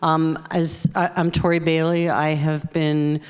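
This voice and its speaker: An older woman introducing herself as a panelist at a conference, speaking in a measured way with fillers. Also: low-pitched, flat delivery, clear, resonant tone. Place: large conference hall. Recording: long hall reverb.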